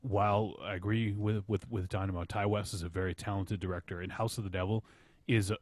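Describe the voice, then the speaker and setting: A man's voice: on the low side, steady tone, a little fast, darkish tone, no echo. A man casually discussing films as a podcast reviewer, repeating words as he builds up to his points.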